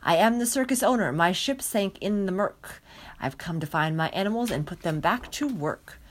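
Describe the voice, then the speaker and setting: A woman's voice: mid-range voice, expressive, normal speed, natural voice. A woman voicing a bossy, selfish male circus owner who barks demands in a children's storybook. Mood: commanding. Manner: demanding, barking.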